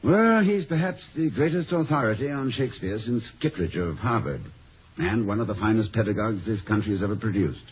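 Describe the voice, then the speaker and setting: Genial, animated, scholarly college president. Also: male voice, lowish voice, brisk, husky. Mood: worried. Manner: hesitant, professorial. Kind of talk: 1950s radio comedy acting. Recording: dull, hissy vintage radio recording.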